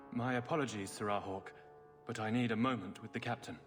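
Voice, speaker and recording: A man's voice: mid-range voice, measured pace, faint music behind, natural voice. An actor playing a bewildered but polite male city guardsman, formal and deferential, in a fantasy video game. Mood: apologetic. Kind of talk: cinematic game dialogue acting.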